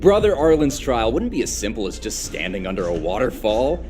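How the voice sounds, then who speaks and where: Man as an earnest, animated young superfan. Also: mid-range voice, brisk, close to an everyday voice. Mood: admiring, emphatic. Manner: emphatic, dramatic. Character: earnest, boastful hero-worshipping young male follower. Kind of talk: scripted video game dialogue. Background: loud game music.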